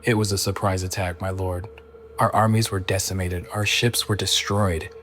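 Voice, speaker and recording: A man's voice: low-pitched, flat delivery, normal speed, a bit bright, a little hiss or hum. An actor playing an apologetic, formal male henchman to a villain lord in a radio drama. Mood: anxious deference.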